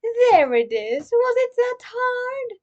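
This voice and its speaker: Woman acting smug, slow-talking young man, teasing. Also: extremely high pitch, very animated, a little mellow, pitched above the performer's own voice, exaggerated voice. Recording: dull lo-fi recording.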